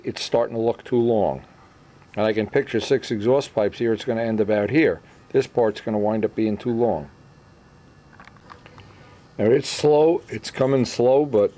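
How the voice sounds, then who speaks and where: A man's voice: medium pitch, warm tone. Middle-aged man thinking aloud while demonstrating. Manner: thinking aloud, unhurried explanations in short phrases. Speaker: model airplane builder giving a tutorial. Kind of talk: informal hands-on workshop demonstration. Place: indoor workbench.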